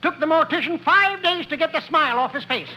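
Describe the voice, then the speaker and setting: A man playing a rustic farmhand with deadpan, folksy delivery in a 1950s radio comedy, on a noisy old recording. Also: very high-pitched, a little fast.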